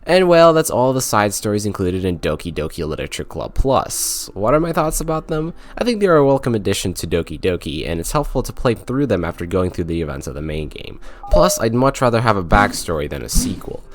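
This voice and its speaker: A man's voice: on the low side, big pitch swings, brisk. A man narrating a scripted video game review in a wry, sarcastic way.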